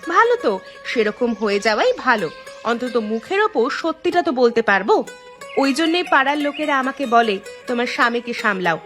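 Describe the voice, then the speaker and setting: A woman's voice: fairly high pitch, very animated, moderate pace, a bit bright, faint music behind. A woman voicing a nagging, fed-up village housewife in a cartoon, scolding with a sarcastic edge. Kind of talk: cartoon voice acting.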